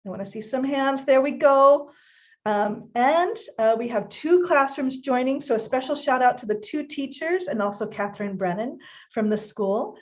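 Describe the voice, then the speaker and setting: A woman with a somewhat high voice hosts a live online event. She greets viewers brightly and speaks right to them. The sound is muffled, like stream audio. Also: animated, moderate pace. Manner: upbeat, addresses audience directly. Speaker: host. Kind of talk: live-streamed webinar hosting.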